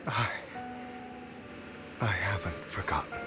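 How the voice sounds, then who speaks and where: A man's voice: low voice, slow, sharp tone, soft background music, exaggerated voice. An actor in a video game playing a grieving, guilt-ridden young man, subdued and brooding. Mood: guilt-ridden, hushed.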